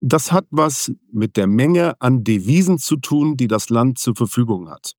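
A man who grew up in Venezuela speaks as a business guest in an interview. He explains things in a steady, measured way at a medium pitch. The recording is dry and close, with no room sound. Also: dry recording. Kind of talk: interview answers.

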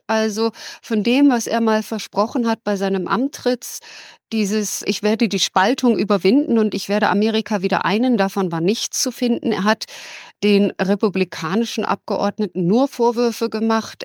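A woman's voice: medium pitch, no echo, studio quality. A woman correspondent answering interview questions in an emphatic, opinionated and wry way. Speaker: correspondent in the USA. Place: USA.

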